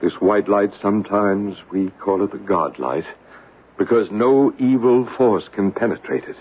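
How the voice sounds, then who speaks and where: A deep-voiced calm psychic. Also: male voice, husky. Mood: soothing. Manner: slow, hushed, coaxing. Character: calm, soothing male psychic guiding a séance. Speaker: psychic medium and narrator. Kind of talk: 1970s radio drama acting. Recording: narrow, hissy old radio broadcast.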